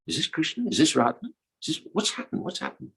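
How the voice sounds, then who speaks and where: A man voicing bewildered, excitable young cowherd girls who press eagerly with repeated questions. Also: fairly high pitch, fast talker, creaky, slightly rough, voice pushed up, exaggerated voice.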